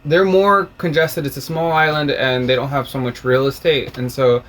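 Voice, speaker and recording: A man who grew up in Russia, co-hosting a casual video chat in a relaxed, reflective way. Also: mid-range voice, moderate pace, a little hiss or hum. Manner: relaxed, reflective, talks about faith. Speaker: co-host.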